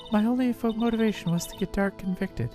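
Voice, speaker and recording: A woman's voice: mid-range voice, fast, mellow, faint music behind, natural voice. A woman voicing a stiff, resigned chief prosecutor in a courtroom video game, speaking formally. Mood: stiff, firm. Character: stiff, resigned adult female chief prosecutor hiding anguish. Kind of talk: amateur video game voice acting.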